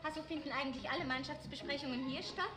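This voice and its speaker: A woman's voice: high voice, fairly even intonation, quick pace, natural voice. A young woman playing a skeptical, long-suffering officer's wife in a 1960s German television science fiction drama. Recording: echoey TV sound with loud music.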